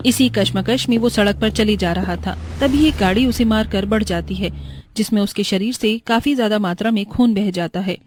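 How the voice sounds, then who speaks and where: Woman storyteller with a medium pitch. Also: normal speed, a little mellow, a little hiss or hum. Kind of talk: scripted cartoon moral-story narration.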